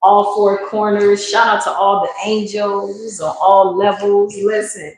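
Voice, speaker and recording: A woman's voice: mid-range voice, level tone, slow. A woman hosting a casual livestream tarot reading, slangy and exclamatory, talking straight to her viewers. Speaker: spiritual reader and livestream host. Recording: very echoey room.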